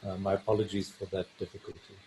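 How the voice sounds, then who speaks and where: Hesitant low-voiced man hosting a call. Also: monotone, quick pace, mellow, slight background noise, poor audio. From South Africa. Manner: hesitant, many fillers. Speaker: webinar host, family physician. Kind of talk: casual hosting of a video call. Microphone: video call.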